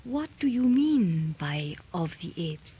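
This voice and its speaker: A woman's voice: on the low side, very animated, slow pace, husky, heavily acted. A hesitant young woman. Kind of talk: 1930s radio drama acting. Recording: dull lo-fi 1930s radio recording with faint hiss.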